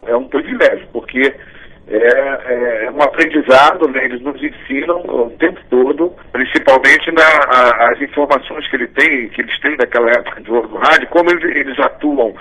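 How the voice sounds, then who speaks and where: A Brazilian man talking informally in an interview, halting and often adding tag questions. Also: medium pitch, sharp tone, breathy edge, rough recording. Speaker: radio coordinator interviewee.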